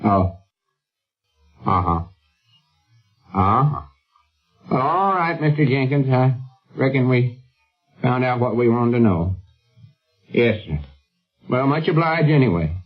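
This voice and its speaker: Husky, folksy backwoods storekeeper. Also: male voice, mid-range voice, big pitch swings, takes it slowly, long pauses, faint music behind. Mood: dismayed. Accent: rural Southern US. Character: folksy backwoods storekeeper, earnest, cautious, honest. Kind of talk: 1940s radio comedy acting. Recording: muffled old radio broadcast recording.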